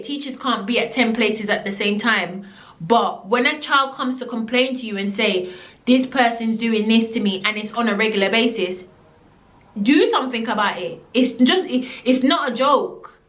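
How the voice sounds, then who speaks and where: A woman's voice: a little high, fairly bright tone, echoey room. A young woman from Jamaica with a Jamaican accent, telling a blunt, emphatic storytime to camera and slipping into patois. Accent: Jamaican, living in the UK. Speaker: vlogger. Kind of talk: storytime vlog.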